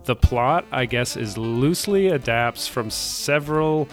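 A man co-hosting a radio show, casually running through listings in a halting, hesitant way. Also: medium pitch, expressive, faint music behind. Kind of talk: casual chat, reading film listings.

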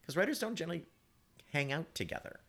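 A man chatting casually as a playwright guest on a panel, telling stories in an animated, emphatic way. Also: a little high, fast talker.

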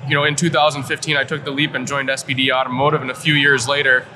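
A man's voice: mid-range voice, level tone, fast. A man from rural Kansas talking conversationally as an analyst guest in an interview at a trade show. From rural Kansas, United States. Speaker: guest, industry analyst and director. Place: live at an automotive trade show. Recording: noisy trade show floor. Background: clear ambient noise.

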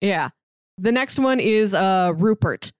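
A woman's voice: mid-range voice, expressive, slow, dry recording, clean studio sound. A woman co-hosting a podcast in casual, explanatory conversation. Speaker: podcast co-host.

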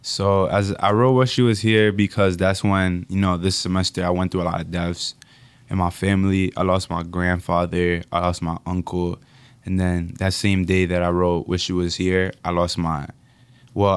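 A young man from the Dominican Republic, a rapper being interviewed, speaking reflectively and haltingly with many fillers. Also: deep voice, level tone, clean tone, dry recording. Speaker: rapper guest. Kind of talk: casual interview.

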